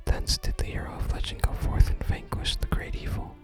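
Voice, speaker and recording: An ASMR gamer voicing a solemn, archaic-speaking sage from a fantasy game in a hushed whisper. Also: a little fast, close to an everyday voice. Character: solemn archaic sage guarding a sacred staff. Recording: soft music under the whisper.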